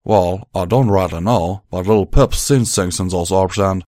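A man voicing a rough-spoken young male pony with a drawl, strained and emotional. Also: fairly low pitch, moderate pace, darkish tone, no echo, clean studio sound, close to an everyday voice.

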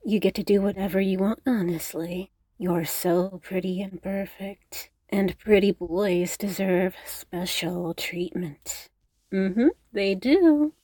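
A woman playing a doting, teasing, dominant girlfriend in an intimate roleplay audio, cooing and coaxing, sometimes slipping into soft whispers. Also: medium pitch, slow pace, no echo, low-quality recording. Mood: tender, possessive. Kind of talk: intimate scripted roleplay audio addressed to the listener.

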